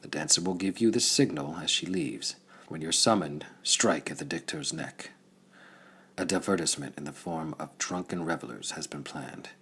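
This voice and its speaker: Man as a deep husky cowled conspirator. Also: lively intonation, moderate pace, fairly bright tone, full character voice. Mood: conspiratorial. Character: cold, secretive cowled scientist-conspirator giving orders.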